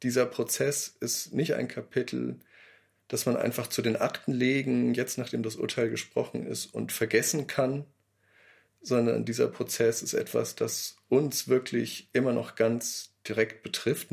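A man gives a reflective interview statement at an unhurried, slow pace. His mid-pitched voice has a noticeable gravelly creak. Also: level tone, darkish tone. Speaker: radio drama maker.

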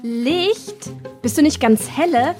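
An actress playing a cantankerous, sharp-tongued older salon regular in a radio play, indignant and biting. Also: female voice, sits high, animated, slow, soft background music. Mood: indignant, catty. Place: radio drama studio.

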